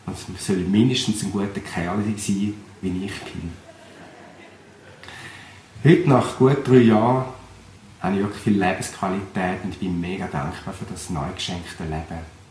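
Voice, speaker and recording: A young Swiss pastor with a Thurgau accent speaks in an earnest, reflective way, his voice sitting somewhat low. The recording is roomy, with a faint hum of background noise behind him. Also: measured pace, a little mellow. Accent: Swiss German, Thurgau. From Switzerland. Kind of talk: personal testimony.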